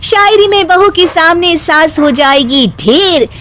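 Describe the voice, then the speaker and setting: A woman's voice: extremely high pitch, lively intonation, takes it slowly, a bit bright, slight background noise, heavily acted. A woman voicing a feisty, exasperated young daughter-in-law with a pointed way of talking in a cartoon comedy story. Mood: defiant, theatrical. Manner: pointed, complaining.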